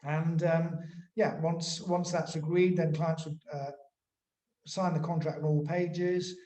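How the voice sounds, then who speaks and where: A man, a lawyer explaining things informally in an interview, haltingly and often repeating words. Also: on the high side, level tone, mellow. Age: 40s. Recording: roomy, echoing sound.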